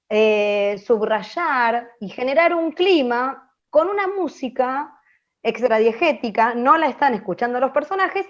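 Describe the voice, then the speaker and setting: A woman with an Argentine accent teaching an open class, explaining off the cuff and often pausing to check that listeners follow. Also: high voice. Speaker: radio drama teacher. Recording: dull, muffled audio.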